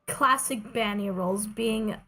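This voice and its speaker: A woman's voice: medium pitch, takes it slowly, sharp tone, a little hiss or hum. A woman livestreaming a video game, chatting casually to herself and her viewers in a playful, self-deprecating way. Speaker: streamer, video game player. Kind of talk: casual gaming livestream chat.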